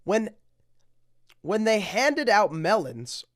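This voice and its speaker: A storybook reader with a very animated delivery and an unhurried, slow pace. The reading is theatrical and drawn out, broken by muttered, deadpan asides. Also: mid-range voice. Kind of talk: reading picture books aloud with joking asides.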